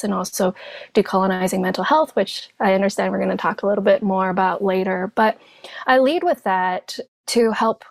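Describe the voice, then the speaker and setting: A woman from Hong Kong whose first language is Cantonese, a therapist guest telling her story in a thoughtful, measured way. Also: medium pitch, creaky, no echo. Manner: thoughtful, measured, speaks in lists. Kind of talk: reflective personal storytelling.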